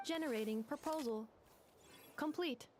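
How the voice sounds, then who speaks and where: Lady as a polite robot girl in a game, high voice, very formal and literal, some background noise. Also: normal speed, a little mellow, lightly acted. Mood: calm, analytical. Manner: formal, clipped, literal machine phrasing. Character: polite, analytical female robot assistant. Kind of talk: video game dialogue.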